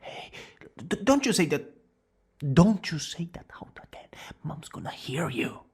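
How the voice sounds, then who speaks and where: A man's voice: a little high, sing-song, a little mellow, heavily acted. A man playing a smug, dramatic young villain student who is secretly smitten, in an ASMR romance roleplay. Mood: embarrassed, sheepish. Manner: dramatic, drawn-out boasting that slips into breathy whispers and flustered exclamations. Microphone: close studio-style microphone.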